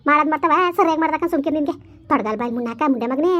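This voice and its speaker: A woman doing a shrill, foul-mouthed village elder sister. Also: racing, a bit bright, lo-fi sound. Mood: scolding. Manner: berating, abusive, hectoring. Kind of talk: village family drama skit.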